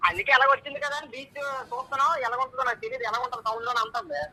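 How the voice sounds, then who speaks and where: A man's voice: very high-pitched, fairly even intonation, very fast, pushed and bright, a little hiss or hum. A young man with a coastal Andhra Telugu accent, speaking casually over a phone line. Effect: telephone. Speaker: friend receiving a call. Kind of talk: casual, animated phone talk.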